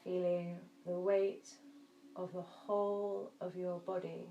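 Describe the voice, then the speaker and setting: A woman teaching yoga nidra, guiding a relaxation meditation in a soft, soothing, gentle manner with long pauses. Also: fairly low pitch, little pitch movement, highs cut off, faint music behind. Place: indoor room. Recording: roomy echo, faint background noise.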